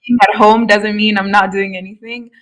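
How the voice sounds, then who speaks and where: A woman's voice: medium pitch, steady tone, moderate pace, fairly bright tone, low-quality recording. Upbeat woman hosting a podcast. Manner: upbeat, eager. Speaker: podcast host. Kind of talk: scripted intro, then casual chat.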